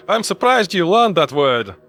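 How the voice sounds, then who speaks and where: Man voicing a high-voiced wry strategist. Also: big pitch swings, slow, a bit bright, full character voice. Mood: amused. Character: shrewd, wry human male strategist.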